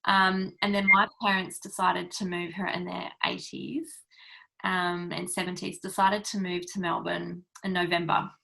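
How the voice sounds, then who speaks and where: A woman's voice: on the low side, level tone, a little slow, creaky, sharp tone, rough recording. A woman hosting a casual interview, chatting in an engaged way with frequent affirming murmurs. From born in New Zealand, raised in Europe. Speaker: podcast host.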